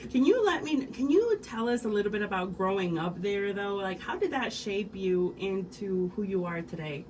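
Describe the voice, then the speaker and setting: A woman's voice: mid-range voice, expressive, moderate pace, a little mellow, recorded from a distance, a little hiss or hum. A woman from Mexico, hosting a radio interview, enthusiastic and rambling with many fillers. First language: Spanish. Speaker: radio host.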